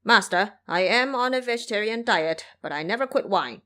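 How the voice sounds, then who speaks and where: An eager, simple-minded pig monster, acted out by a woman, speaks up loudly in an audio drama. He pleads with his betters in a deferential way, every request pushed forward with force. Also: mid-range voice, lively intonation, normal speed, close to an everyday voice. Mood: protesting.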